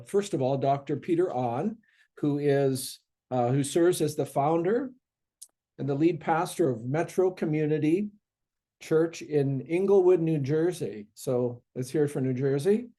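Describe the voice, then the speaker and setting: A man's voice: a little high, a little slow, mellow. A man moderating a seminary panel on humility, introducing the speakers with many fillers and restarts.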